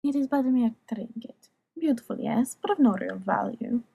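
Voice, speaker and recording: A woman playing an alluring, worldly courtesan who tells tales in a soft, measured, theatrical way for an audiobook drama. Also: a little high, animated, vocal fry, husky, heavily acted. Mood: coy, seductive.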